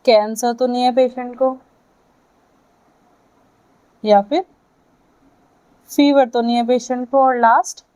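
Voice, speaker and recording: A woman with an Indian accent giving a lecture to students, speaking in short halting phrases and checking in with her listeners. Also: a little high, warm tone, low-quality recording. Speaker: physiotherapy lecturer.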